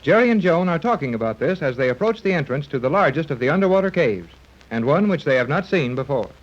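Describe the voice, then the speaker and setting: A man's voice: a little high, animated, fast talker. A man narrating a 1930s radio serial, formal and dramatic, on a dull vintage recording. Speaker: announcer, narrator.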